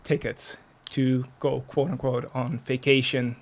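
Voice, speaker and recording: A man who grew up in the Netherlands, speaking with a Dutch accent as an interview guest, casually explaining and telling stories with pauses. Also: mid-range voice, flat delivery. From Netherlands, born in Russia. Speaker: podcast guest.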